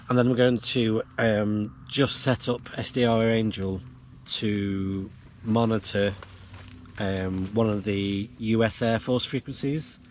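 A man's voice: lowish voice, darkish tone, a little hiss or hum. A middle-aged man explaining things casually in a vlog, recorded on a camera while camping. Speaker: vlogger demonstrating off-grid radio gear. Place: outdoors in a windy field and inside a canvas tent.

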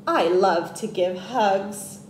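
A woman voicing huge, friendly storybook animals with a slow, drawn-out delivery. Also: mid-range voice, pitched below the performer's own voice, lightly acted. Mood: eager. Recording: echoey room with background noise.

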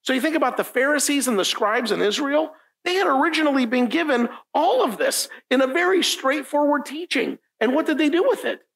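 A man's voice: extremely high pitch, lively intonation, brisk, gravelly, few pauses, fairly bright tone. A middle-aged man whose first language is Italian, preaching a conversational sermon at a lectern in a church room. He talks informally, often asks "right?", and repeats key lines for emphasis. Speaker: pastor. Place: church meeting room, indoors, standing at a lectern.

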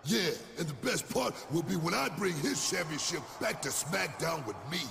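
A man's voice: fairly high pitch, lively intonation, moderate pace, vocal fry, a crowd in the background. A man playing a cocky, combative pro wrestler who taunts and argues in a video game. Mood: cocky, taunting. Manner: taunting, confrontational.

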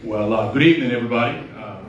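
A middle-aged Nigerian-accented man speaks with earnest storytelling drive and builds to emphatic peaks. He talks at a brisk clip. His voice comes from across a very echoey hall, caught by a phone out in the audience. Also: medium pitch, far from the mic. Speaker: award honoree giving a speech. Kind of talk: inspirational speech. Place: large hall at an awards event, red carpet. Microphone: handheld mic, filmed by a phone from the audience.

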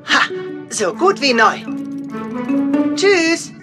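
A woman voicing a small, caring, anxious young male penguin medic in a children's cartoon audio drama, gentle and earnest. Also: high-pitched, big pitch swings, extremely slow, over loud music, exaggerated voice. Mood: gentle, reassuring.

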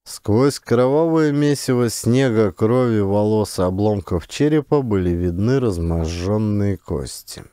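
A man's voice: fairly low pitch, lively intonation, unhurried, hardly any pauses, warm tone, clear, resonant tone, no room sound, studio quality. A man reading a literary memoir as a scripted audiobook narration.

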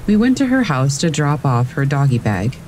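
A man calmly reading scary stories aloud as a narrator, in a steady storytelling manner. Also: on the high side, normal speed, slight background noise. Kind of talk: scripted narration of reader submissions. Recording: faint rain in the background. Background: rain.

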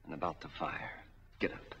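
An American actor playing a cold, vengeful middle-aged gangster in a 1940s film noir, speaking in a deliberate, threatening way. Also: male voice, sharp tone, lightly acted. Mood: menacing. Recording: dull, muffled 1940s film soundtrack.

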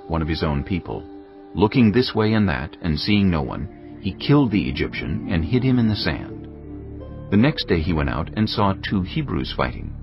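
Deep solemn male Bible narrator. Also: gravelly. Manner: measured, solemn. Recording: dull studio track under music.

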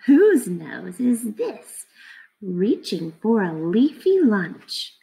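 Thirties woman, teacher reading to children, unhurried, creaky mid-pitched voice. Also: big pitch swings, dark tone, poor audio. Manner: explains simply, asking questions of young listeners. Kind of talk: casual read-aloud lesson for children. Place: indoors at a computer, webcam screen recording.

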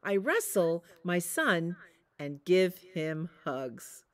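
A woman voicing a playful big gray daddy wolf in a storybook, chanting slowly in rhythm. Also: lowish voice, big pitch swings, heavily acted. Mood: sing-song.

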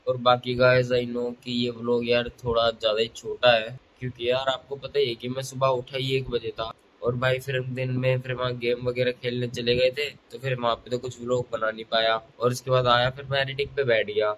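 A man's voice: mid-range voice, fairly bright tone, a little hiss or hum, rough recording. A tired young man in a casual daily vlog, rambling and chatty while lying on a bed, with a phone held at arm's length. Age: young adult. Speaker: vlogger.

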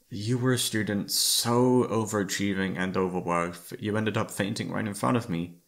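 Gravelly, tired boyfriend, acted by a man. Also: lowish voice. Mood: apologetic, loving. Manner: tired, sighing, hesitant, soft-hearted. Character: exhausted, overworked, affectionate young boyfriend. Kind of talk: intimate ASMR roleplay acting.

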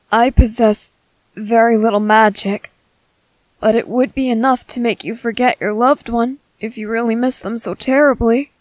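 A woman voicing a gentle young merman in an ASMR roleplay, hesitant, soft-spoken, earnest and apologetic. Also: fairly high pitch, dry recording, lightly acted. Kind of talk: ASMR roleplay, scripted character acting.

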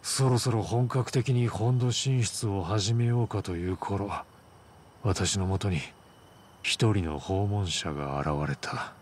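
An actor playing a proud, wounded Chinese mafia boss in a game cutscene, a middle-aged man speaking in a formal, solemn, measured way. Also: on the low side, a little hiss or hum. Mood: somber recounting. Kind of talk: Japanese video game cutscene acting.

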